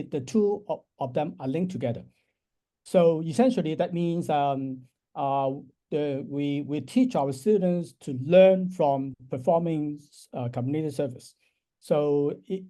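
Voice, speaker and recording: Mellow older man from Hong Kong. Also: fairly high pitch. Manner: thoughtful, explanatory, frequent restarts and soft laughs. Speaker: university professor, interview guest. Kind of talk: unscripted interview answers.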